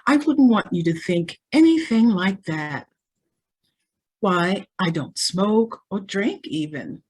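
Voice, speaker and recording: A woman's voice: medium pitch, expressive, takes it slowly, a little mellow, slightly rough. An actress playing a naive, self-assured and sheltered teenage rich girl in an old-time radio drama, speaking with prim artlessness.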